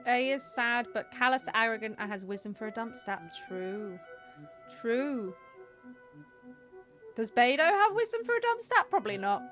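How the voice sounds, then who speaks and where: A woman's voice: on the high side, expressive, normal speed, fairly bright tone. A woman giving casual live-stream game commentary, chatty and playful as she muses aloud. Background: game music.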